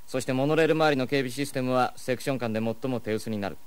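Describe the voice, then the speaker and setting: An actor playing a cool, wry young detective with a crisp, dry, know-it-all manner in an audio drama. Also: male voice, medium pitch, fast talker, natural voice. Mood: briskly explaining. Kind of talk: Japanese audio drama acting. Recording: noisy old cassette drama.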